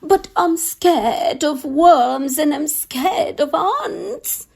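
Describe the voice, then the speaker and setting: A woman plays a wimpy storybook cook, a fearful man who whimpers and trembles. The voice sits very high and swoops about plaintively, drawing words out very slowly and dramatically. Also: expressive, vocal fry, darkish tone, heavily acted. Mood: scared, whimpering. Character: timid, wimpy male cook, whimpering with fear. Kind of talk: children's storybook character voice.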